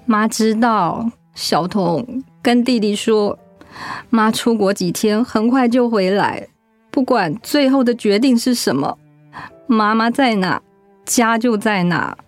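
A woman's voice: on the high side, lively intonation, slow, no room sound, soft background music. An actress playing a loving but resolute middle-aged mother in a radio drama. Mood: tender.

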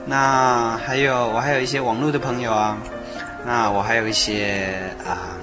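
A man in a casual conversation about faith, halting as he repeats and rephrases himself. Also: mid-range voice, fairly even intonation. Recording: background music under the talk. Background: music.

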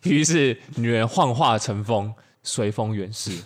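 A man's voice: mid-range voice, unhurried. A man improvising comic fairy tales playfully as a podcast storyteller.

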